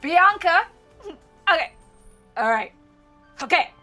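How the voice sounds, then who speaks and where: A woman's voice: extremely high pitch, big pitch swings, slow, bright, forceful tone, soft background music, full character voice. A woman voicing an uptight, exasperated responsible woman, stammering and emphatic in a comedic radio-drama table read.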